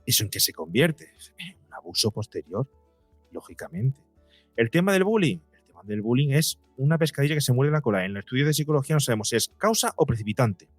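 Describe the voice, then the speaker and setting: A man's voice: mid-range voice, very animated, fast talker, dry recording, faint music behind. A man from explains as an interview guest, didactically, posing rhetorical questions and speaking conversationally. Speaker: psychologist and podcaster, interview guest.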